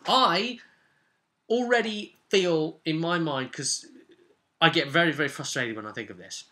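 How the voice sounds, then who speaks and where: A man talking casually as a game master and video host, rambling through a recap of a tabletop session with animated, emphatic repetition and rhetorical asides. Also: fairly high pitch.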